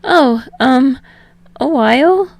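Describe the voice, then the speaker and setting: A woman voicing a bubbly, earnest young man in love, with a hesitant, breathy, eager delivery in an audiobook. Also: high-pitched, expressive, slow pace, dry recording, voice pushed up, heavily acted. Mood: sheepish.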